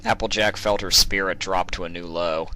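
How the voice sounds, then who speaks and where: A man's voice: sits low, steady tone, moderate pace, sharp tone, a little hiss or hum. A man reading a story aloud as scripted narration. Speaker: narrator reading fan fiction. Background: instrumental guitar music.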